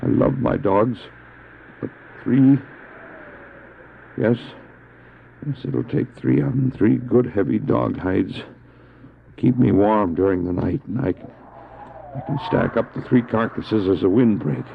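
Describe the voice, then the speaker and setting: A man's voice: on the low side, vocal fry, narrow, tinny audio, slight background noise. A man acting a stranded, devout doctor and dog sledder in an audio drama, earnest and theatrical, anguished but resolute, on an old cassette recording. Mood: anguished, reluctant. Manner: earnest, theatrical, halting when speaking in character. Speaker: narrator and lead actor. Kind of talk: dramatized first-person adventure narration, audio drama.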